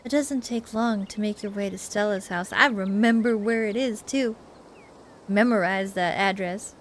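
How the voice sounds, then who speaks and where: A woman gives chatty, expressive commentary on a game playthrough and reads its text aloud in a casual way. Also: medium pitch, moderate pace, slight background noise. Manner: chatty, expressive reactions and asides. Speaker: video game streamer.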